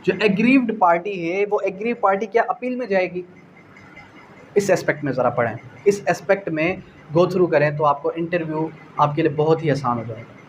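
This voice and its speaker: Male law lecturer, Pakistani accent, high-pitched, didactic question-and-answer delivery, slight background noise. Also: brisk, rough recording. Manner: code-switching between Urdu and English legal terms. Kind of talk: explanatory exam-prep lecture.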